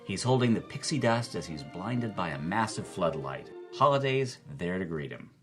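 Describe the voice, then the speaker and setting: A husky-voiced man giving enthusiastic film commentary as a lecturer and host. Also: sits low, sing-song, moderate pace, over music.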